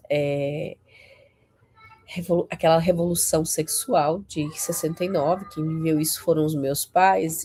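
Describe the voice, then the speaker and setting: A husky woman in her forties speaks in an unhurried, conversational way. She keeps checking in with her listeners, tagging her sentences with questions as she teaches fellow Christians, and lands her points with emphatic rises in pitch. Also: mellow. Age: 40s.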